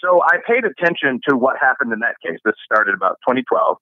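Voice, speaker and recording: A man's voice: medium pitch, big pitch swings, fairly quick, no room sound, narrow, tinny audio. A man from the Chicago area, a professor and author, giving fluent interview answers and listing cases one after another. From Chicago area, USA.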